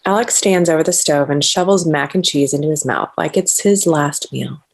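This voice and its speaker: A woman narrates stage directions from a script. Her voice sits a little low. The delivery is scripted screenplay narration. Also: normal speed, gravelly.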